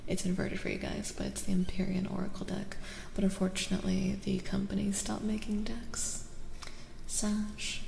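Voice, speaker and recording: Soft whispery woman with heavy creak. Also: medium pitch, monotone, roomy sound, big-room sound, slight background noise. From Eastern Europe. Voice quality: soft, breathy, often dropping to a whisper. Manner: gentle, unhurried, repeats words soothingly. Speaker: streamer host giving tarot readings. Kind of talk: soft-spoken ASMR, casual live chat.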